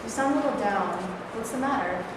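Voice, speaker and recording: A woman playing a calm, supportive middle-aged presidential wife in a staged play reading. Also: fairly high pitch, a little fast, close to an everyday voice. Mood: gently encouraging. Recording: echoey hall, distant mic, noisy.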